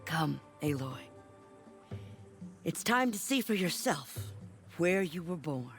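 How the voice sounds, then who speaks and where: A woman playing a feisty, wise old village elder and grandmother in an animated video game, blunt and colorful in her talk. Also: deep voice, takes it slowly, full character voice. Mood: solemn. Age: older. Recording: music behind the voice.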